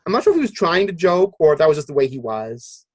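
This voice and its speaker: A man with a fairly high-pitched voice hosts a relaxed podcast conversation. He speaks haltingly, working out his thoughts aloud. The sound is very dry, with no room around it. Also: no room sound. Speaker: podcast host. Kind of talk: casual podcast discussion.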